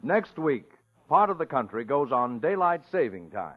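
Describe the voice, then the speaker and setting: A man's voice: mid-range voice, sing-song, moderate pace. A man reading closing announcements in a formal, declamatory 1940s network radio style. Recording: tinny old radio, faint music.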